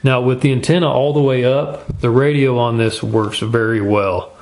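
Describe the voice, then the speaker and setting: A man's voice: medium pitch, fairly even intonation, normal speed, a little mellow. A man hosting a casual product review in an informal, folksy, plain-spoken way. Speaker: gear review channel host.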